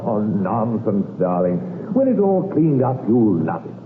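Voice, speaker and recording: A man's voice: lowish voice, sing-song, a little slow. A muffled, hissy old radio recording carries a cheerful English ladies' man. His voice swings up and down freely as he coaxes and soothes with hearty endearments. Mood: breezy, reassuring. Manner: hearty, coaxing, full of endearments. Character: cheerful, smooth-talking male runaway husband, a ladies' man. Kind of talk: vintage radio drama acting.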